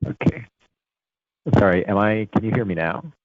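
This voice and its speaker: A man's voice: on the low side, normal speed, dry recording. A man with an American accent presenting a finance webinar over a video call, with frequent fillers and restarts. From Italy. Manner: many fillers and restarts, conversational lecturing. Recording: tinny phone-like line, dry.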